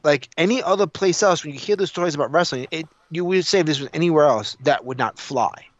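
A man co-hosting a podcast, talking casually and opinionatedly, repeating himself as he retells things in an animated way. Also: on the high side, quick pace, no room sound. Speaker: podcast co-host. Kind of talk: casual opinionated panel chat. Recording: dull lo-fi audio.

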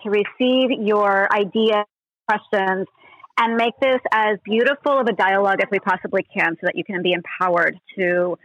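A woman's voice: medium pitch, fairly bright tone, no room sound. A woman co-hosting a podcast, introducing it to listeners, on a dull lo-fi recording. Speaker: podcast co-host. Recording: dull, lo-fi, dry sound.

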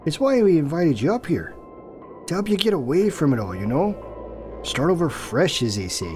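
A man acts out a nervous small-town policeman in an audio drama. He stammers and hesitates as he placates, his pitch rising and falling a lot. Music is clearly heard underneath. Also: big pitch swings, normal speed, darkish tone. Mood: nervous, placating. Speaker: voice actor.

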